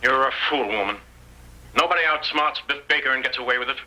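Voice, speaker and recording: A forceful, sneering Western bandit. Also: male voice, mid-range voice, fast talker. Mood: menacing. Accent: American. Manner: rustic Western talk, threatening. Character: scheming male bandit, menacing, sneering, posing as brother. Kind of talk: old-time radio Western acting. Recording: vintage radio recording, tinny.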